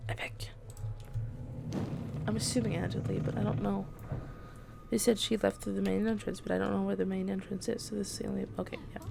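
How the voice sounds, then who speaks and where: A woman's voice: medium pitch, flat delivery, brisk, gravelly, long pauses, noisy background. A woman giving casual gameplay commentary, with reactive, gushing asides. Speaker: gaming video creator.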